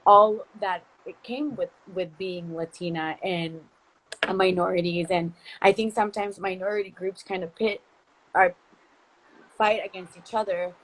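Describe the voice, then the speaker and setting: Chatty Costa Rican-born woman, lowish voice. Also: steady tone, unhurried, a little hiss or hum, low-quality recording. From Costa Rica. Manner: chatty, rambling, frequent restarts. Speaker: interviewer, company founder. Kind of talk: casual livestream interview. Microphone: phone, video call.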